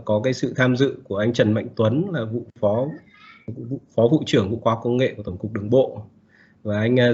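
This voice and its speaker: A man moderating an online seminar, speaking quickly and politely with small fillers. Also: medium pitch, darkish tone, breathy edge. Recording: muffled video call audio.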